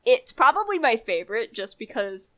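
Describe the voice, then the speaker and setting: A woman's voice: a little high, big pitch swings, moderate pace, pushed and bright. A young woman co-hosting a podcast in casual, candid chat, informal and a little self-deprecating. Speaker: podcast co-host.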